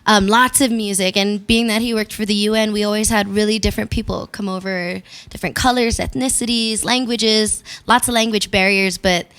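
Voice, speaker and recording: A woman's voice: mid-range voice, fairly even intonation, brisk, hardly any pauses. A young woman from Hawaii, a musician guest, talking reflectively with lots of "you know"s. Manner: warm, reflective, many fillers like "you know". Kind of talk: casual interview chat.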